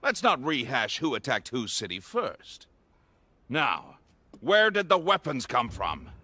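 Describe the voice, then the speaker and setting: A condescending leader in a video game cutscene. His voice is bright and forceful with animated pitch, and his delivery is dismissive and commanding. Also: takes it slowly, breathy edge, exaggerated voice. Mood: smug, dismissive.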